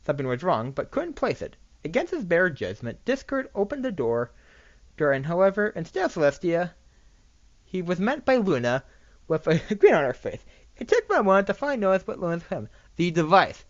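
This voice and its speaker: An amateur narrator reading a fan fiction story aloud in a halting way, often stumbling over and repeating words. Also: medium pitch, sing-song, dry recording, lo-fi sound.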